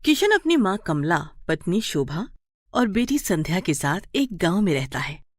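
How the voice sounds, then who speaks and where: Animated, lowish-voiced woman storyteller. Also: a little slow, dry recording, clean studio sound. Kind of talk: scripted children's story narration.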